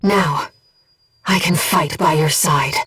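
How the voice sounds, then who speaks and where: Gentle, composed female companion, distant sound. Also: deep voice, slow pace, breathy edge, exaggerated voice. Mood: earnest, tender. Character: gentle, earnest female disembodied mind companion guiding a pilot. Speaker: mission support operator in a video game. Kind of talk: scripted video game voice acting, mission briefing.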